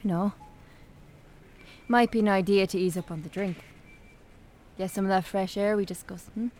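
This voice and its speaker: A woman's voice: mid-range voice, fairly even intonation, normal speed, darkish tone, a little hiss or hum, lightly acted. An actress playing a frank, concerned small-town Irish woman, blunt and earnest, in naturalistic radio drama. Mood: gently probing.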